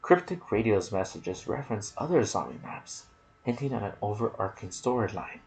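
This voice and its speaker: Male ASMR gaming host, soft and intimate delivery slipping into whispers, low gravelly register. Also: animated, normal speed, a little hiss or hum, poor audio. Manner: soft-spoken, sometimes dropping to a whisper. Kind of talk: ASMR gaming commentary, reading trivia with asides.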